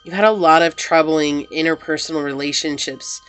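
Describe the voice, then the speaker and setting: A woman giving a pick-a-card tarot reading to her viewers, gentle and reassuring and full of affirmations, sounding slightly congested as she gets over a cold. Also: deep voice, normal speed.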